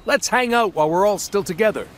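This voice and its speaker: A man's voice: high-pitched, animated, fast, a little hiss or hum. A man playing a friendly, upbeat superhero in a video game cutscene.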